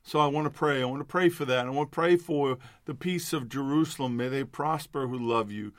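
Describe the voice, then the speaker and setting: A man's voice: mid-range voice, a little fast, dry recording. Older male minister, nasal and congested, earnest prayer rising to fervent declarations, dry close sound. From New York. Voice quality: nasal from allergies. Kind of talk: devotional prayer and exhortation.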